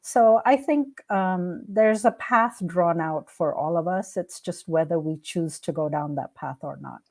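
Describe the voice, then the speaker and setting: A woman's voice: on the low side, a little slow, a little mellow. A woman whose native language is Hindi, giving reflective, earnest answers as a guest in a conversational interview. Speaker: podcast guest, art curator and founder.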